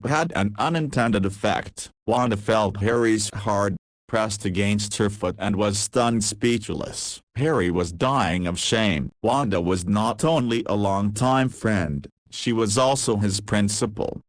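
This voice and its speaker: A man's voice: fairly low pitch, no echo. A man reading scripted story narration as the narrator.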